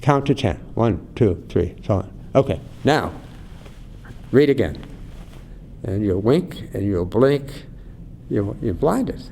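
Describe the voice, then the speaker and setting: An older man, an eye researcher, explaining slowly and carefully in an interview, acting out doctors and patients as he goes. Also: animated, vocal fry, dark tone. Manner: measured, acts out doctor and patient. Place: conference venue. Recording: faint low rumble behind.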